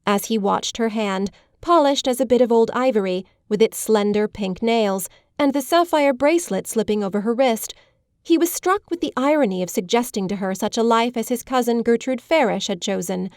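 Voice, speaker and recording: A woman narrating a literary audiobook in a measured, polished storytelling manner. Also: a little high, a little mellow, no echo, studio quality.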